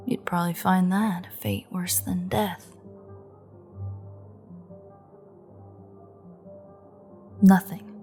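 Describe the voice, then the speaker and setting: A woman playing a menacing, teasing vampire in an intimate audio drama monologue, her breathy voice often dropping to a near-whisper as she taunts. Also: fairly low pitch, darkish tone. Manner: slow, taunting, drawling. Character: menacing, teasing female vampire gloating over captive hunter.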